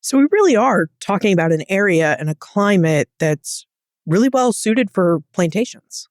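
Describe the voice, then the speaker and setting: A woman's voice: medium pitch, animated, moderate pace, dry recording. Woman hosting, dry studio-like sound. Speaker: podcast interviewer, host. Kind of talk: prepared interview questions.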